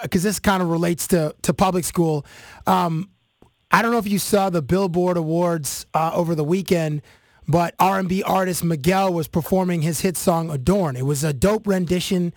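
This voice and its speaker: A man from Canada hosting an interview, telling stories in an animated way with many fillers. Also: high-pitched, normal speed, no room sound. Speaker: podcast host. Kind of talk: casual interview chat.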